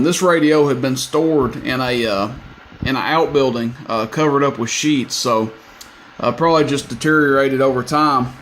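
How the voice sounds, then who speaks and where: A man with a mid-pitched voice talks through a piece of equipment in a casual demonstration. His wording is plain, folksy and a little hesitant. The amateur recording carries clear background noise. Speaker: radio seller demonstrating equipment.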